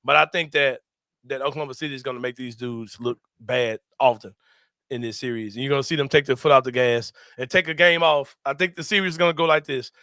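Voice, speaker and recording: Young man, sports host: bright, forceful voice, slangy and animated, recorded dry. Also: mid-range voice, quick pace, dry recording. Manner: animated, slangy, laughs a lot. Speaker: sports talk show host. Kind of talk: casual sports chat.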